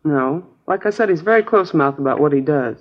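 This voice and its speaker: A woman's voice: sits low, expressive, fairly quick. An actress playing a chatty, naive American girlfriend in a 1950s radio crime drama, casual, rambling and a little vague. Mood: puzzled, open. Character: chatty, naive, easygoing working-class girlfriend of a crook. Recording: dull old radio broadcast recording.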